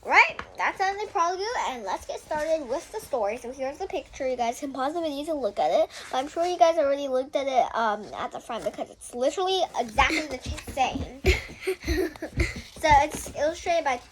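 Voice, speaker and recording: A woman's voice: high voice, animated, fairly quick, background noise. A young girl hosting a casual read-aloud of a children's book, often breaking off to explain things to viewers in chatty asides.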